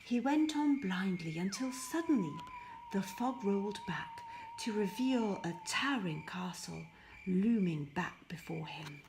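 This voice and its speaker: Slow-paced woman narrating a fairy tale. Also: medium pitch, expressive, background noise. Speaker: storybook reader.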